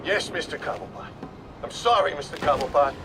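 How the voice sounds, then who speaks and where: A man's voice: a little high, expressive, a little fast, background noise. An actor playing a frightened, pleading henchman in a video game, nervous and deferential. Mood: apologetic.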